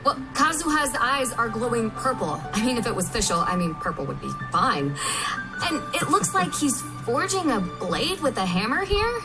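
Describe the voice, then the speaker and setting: A woman with a high voice talks in a lively panel-guest style. Her pitch moves around a great deal, and music is clearly audible beneath her. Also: normal speed, poor audio. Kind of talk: lively promotional panel chat. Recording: music under a played stream.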